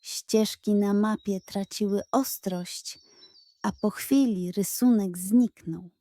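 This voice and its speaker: A woman narrating a scripted children's bedtime story in a gentle, expressive storytelling manner. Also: mid-range voice, slow.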